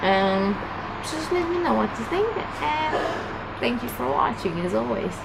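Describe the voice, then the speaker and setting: Young woman, Romanian accent, casual book vlog, halting and chatty, steady air-conditioner hum behind. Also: on the high side. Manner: halting, chatty, many restarts and fillers. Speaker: book vlogger. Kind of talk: casual video book review. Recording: clear background hum.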